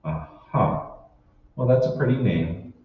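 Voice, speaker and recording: A man's voice: mid-range voice, expressive, slow. A man playing a troubled, suspicious husband in an old-time radio drama, convinced his world is fake. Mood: weary, reserved. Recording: echoey hall, distant mic, dull, faint noise.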